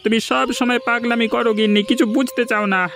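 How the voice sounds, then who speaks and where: A man's voice: very high voice, level tone, quick pace, vocal fry, pushed and bright, exaggerated voice. A man voicing a worried, downhearted village husband in a cartoon, speaking in a plaintive, earnest way. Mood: worried, pleading. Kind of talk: Bengali fairy-tale cartoon dubbing. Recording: music bed under the voice.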